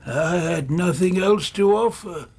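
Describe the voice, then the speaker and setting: An actor playing a bitter, disfigured ex-soldier in a radio drama, with a strained, raspy voice and an anguished delivery. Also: male voice, high-pitched, a little mellow, a little hiss or hum. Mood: bitter, defensive. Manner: anguished, slow, swooping delivery.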